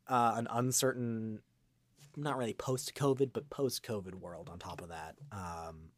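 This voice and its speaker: Male interview guest, voice actor. Fairly deep, dryly recorded, earnest delivery that wanders and stalls. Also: dry recording. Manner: halting, rambling, earnest. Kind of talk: reflective interview talk.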